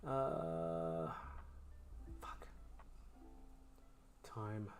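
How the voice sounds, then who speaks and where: A man thinking aloud in casual, self-questioning, sometimes profane commentary while he edits his animated show. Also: deep voice, extremely slow, soft background music.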